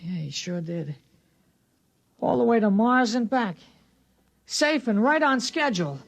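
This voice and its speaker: An actor playing an excited, earnest small-town boy narrating a world event in a 1950s radio drama, with breathless, wondering delivery. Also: sits high, unhurried, gravelly, exaggerated voice. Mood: elated. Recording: vintage radio drama with loud music.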